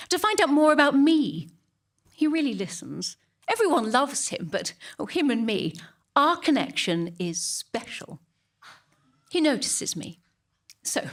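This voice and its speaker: A woman's voice: on the high side, a bit bright, full character voice. A woman playing a smug, chatty middle-class English churchgoing mother in a comic monologue, prim and gossipy, with an English accent. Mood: smitten, gushing. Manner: prim, gossipy, self-justifying asides.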